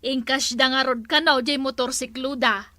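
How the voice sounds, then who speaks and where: A woman's voice: sits high, steady tone, moderate pace, bright, forceful tone, dry recording. A woman playing an envious, gossipy neighbour who grumbles scornfully in a radio comedy drama.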